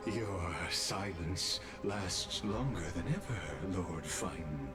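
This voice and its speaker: A very slow, theatrical gloating villain. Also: male voice, low-pitched, animated, over loud music, heavily acted. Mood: smug, taunting. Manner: measured, theatrical, condescending. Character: patient, gloating male villain, godlike schemer. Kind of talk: dramatic video game voice acting.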